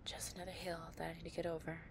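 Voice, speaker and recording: A woman playing a possessive young gang-leader girlfriend, tough but tender, in an intimate, soft-spoken audio roleplay. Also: lowish voice, monotone, racing, gravelly, background noise. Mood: apologetic, protective.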